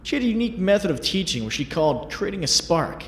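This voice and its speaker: A man acting as a wry young superhero in a comic dub, self-deprecating and heartfelt. The voice is slightly high and swings freely in pitch, over faint background noise. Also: sing-song, fast. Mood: amused, fond.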